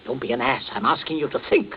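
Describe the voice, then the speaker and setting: A man's voice: medium pitch, very animated, fast, slight background noise, close to an everyday voice. An actor playing a stern, domineering middle-aged family man in a 1940s radio drama. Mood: scolding. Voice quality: stern, commanding. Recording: lo-fi old radio broadcast.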